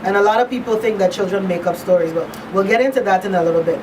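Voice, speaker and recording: A woman's voice: medium pitch, fast. Woman interviewer, distant and noisy. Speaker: talk show host. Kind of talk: conversational radio interview. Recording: distant mics, clear hum and background noise.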